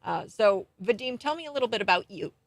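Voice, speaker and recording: An American woman hosting a radio talk show in a studio, speaking in an excitable, emphatic way. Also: medium pitch, quick pace. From United States. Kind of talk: talk radio monologue and interview.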